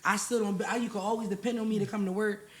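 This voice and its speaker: A young woman from West Philadelphia with a Philly accent, a podcast guest telling her story in a casual, animated way, often saying "like". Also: mid-range voice, quick pace. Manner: animated, acts out voices in her stories, lots of "like".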